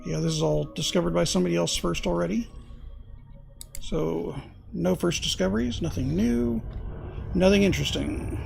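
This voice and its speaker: A man streaming a space game, thinking aloud in casual commentary, hoarse and losing his voice, often clearing his throat. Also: high voice, moderate pace, pauses often, soft background music.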